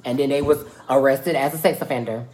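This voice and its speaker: A man's voice: mid-range voice, steady tone, a little fast, a little mellow, low-quality recording. A young American man with a naturally feminine-sounding voice, chatting candidly and in slang as a podcast host. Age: young adult. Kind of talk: casual podcast chat. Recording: faint music behind the voice.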